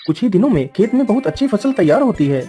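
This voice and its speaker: A man's voice: sits high, sing-song, quick pace, mellow, soft background music. A man narrating a scripted cartoon story in a storytelling style.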